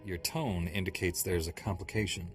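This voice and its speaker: An actor playing an earnest, questioning young hero of a Jedi order in a video game, speaking directly. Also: male voice, sits low, fairly even intonation, moderate pace, faint music behind, lightly acted. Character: earnest, doubting male Jedi knight hero. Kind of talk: video game cutscene acting.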